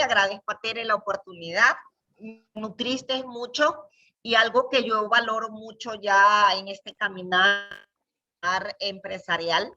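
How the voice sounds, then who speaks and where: A woman's voice: fairly high pitch, sharp tone, distant mic. A middle-aged or older woman from Venezuela speaks earnestly and reflectively on a video call. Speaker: participant giving thanks. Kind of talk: reflective, heartfelt talk. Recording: dull video-call audio.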